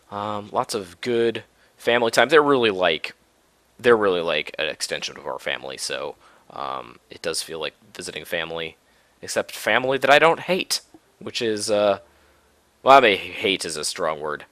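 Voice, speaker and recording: Low, bright-voiced man with a cold. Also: no room sound. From Minneapolis-Saint Paul area, US. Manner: laid-back and rambling, many ums and restarts. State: coming down with a cold. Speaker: Minecraft let's play host. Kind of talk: casual gameplay commentary.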